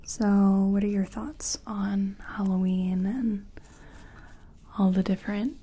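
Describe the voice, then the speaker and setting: A woman playing a gentle, chatty makeup competition contestant in a soft-spoken, whispery ASMR personal attention role-play. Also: medium pitch, fairly even intonation. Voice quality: breathy, whispery. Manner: gentle, unhurried, chatty, reassuring. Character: friendly, self-taught makeup competition contestant.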